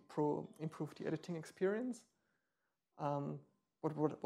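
Man, 30s, meetup presenter; dark gravelly voice, explains with ums and restarts. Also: a little high. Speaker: meetup speaker. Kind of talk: live tech demo talk. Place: meetup room.

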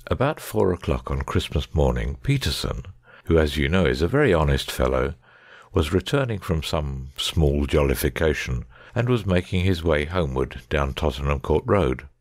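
A man playing a keen, analytical gentleman detective in a dramatic audiobook reading, precise, discursive and wry. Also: very deep voice, animated, moderate pace, no echo, clean studio sound, exaggerated voice. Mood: explaining.

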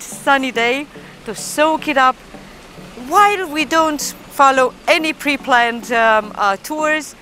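Upbeat female travel vlogger, 30s, speaking to camera outdoors, slightly high voice, casual and chatty with small hesitations. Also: sharp tone, rough recording. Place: outdoors in a city square.

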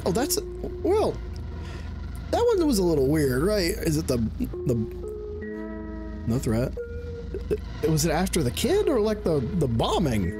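A man's voice: on the high side, huge pitch swings, measured pace, pauses often. A man in his thirties giving casual, jokey gameplay commentary, often repeating himself and swearing, on a close desk mic. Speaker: gaming video host. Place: indoor gaming setup. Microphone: close desk microphone and headset. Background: game music.

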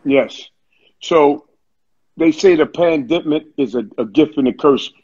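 A man's voice: on the high side, a little slow, a little mellow, breathy edge. A man hosting a casual interview over a video call, talking in a slangy, encouraging way. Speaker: interview host. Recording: dull, muffled video-call audio.

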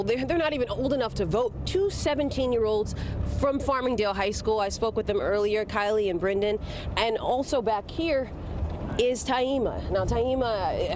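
A woman field reporter giving a brisk, fluent live news report from inside a moving bus on a handheld mic. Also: mid-range voice. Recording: loud road noise.

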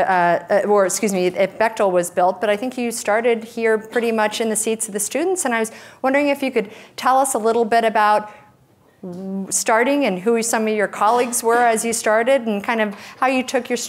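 A woman's voice: fairly high pitch, a little fast. A woman moderates an on-stage talk in a university lecture hall. She asks long questions that wander, with many fillers and restarts. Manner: rambling, hesitant, with many fillers.

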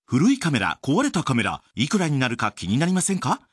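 A man delivering a scripted radio sales pitch as an advert announcer. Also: huge pitch swings, fairly quick, faint music behind.

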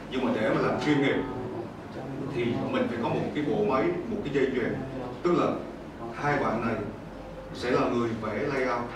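A man with a southern Vietnamese accent explaining to a class as a teacher, hesitant and repeating words. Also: medium pitch. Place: school classroom. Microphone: far camera. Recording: echoey noisy classroom, distant mic.

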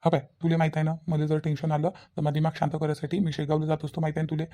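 Man voicing an exasperated, fast-talking young cartoon husband. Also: a little high, monotone, warm tone, natural voice. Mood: self-justifying. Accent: Varhadi Marathi (Vidarbha). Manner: self-justifying, repetitive, emphatic. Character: exasperated, scheming newly married young husband. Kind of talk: village cartoon dialogue.

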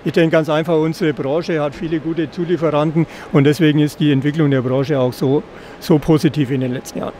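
Middle aged guy explaining product stuff, bit halting, voice on the high side, busy fair noise. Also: fairly even intonation, dark tone. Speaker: caravan company representative. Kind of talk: product presentation in an interview. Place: caravan at an indoor trade fair. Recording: clear background noise of busy trade fair.

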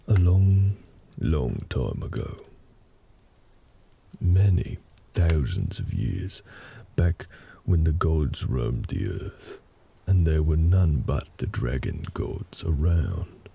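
A man playing a gentle, big orc husband in a comfort roleplay audio, telling a story in a hesitant, reassuring, gravelly way. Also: very animated, slight background noise, heavily acted. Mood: calm storytelling. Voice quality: very deep, gravelly. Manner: slow, hesitant, reassuring, conversational.

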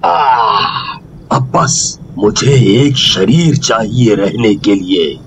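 A performer voicing a menacing male ghost in a demon effigy, gloating in a deep, booming voice for a horror cartoon. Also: expressive, a little hiss or hum. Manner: slow, gloating, villainous. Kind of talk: Hindi horror cartoon voice acting.

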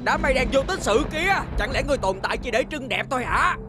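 Excitable cartoon man, rapid talk swooping all over, exclaiming everything. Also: very high voice, music in the background. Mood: irritated. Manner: exclamatory, melodramatic. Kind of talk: dubbed cartoon voice acting.

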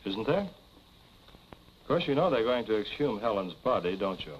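An actor playing a suave, quietly menacing man in his thirties, speaking smoothly and formally in 1940s film style. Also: on the low side, sing-song, normal speed, a little hiss or hum. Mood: insinuating. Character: suave, cool male family friend, quietly insinuating and menacing. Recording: dull 1940s film soundtrack with music.

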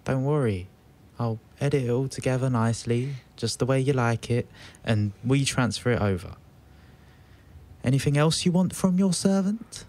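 A man's voice: mid-range voice, normal speed, a little mellow, natural voice. An actor playing a weary young man, placating and dryly sarcastic, in a naturalistic audio drama. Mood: weary, wry. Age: young adult. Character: weary, reassuring young flatmate with dry sarcasm.

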